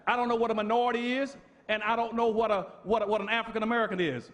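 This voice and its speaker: A man with a notably high voice delivers a fiery public lecture. He talks like a preacher, leaning hard on repetition and rhetorical questions and pressing each point home. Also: steady tone, rapid-fire, fairly bright tone.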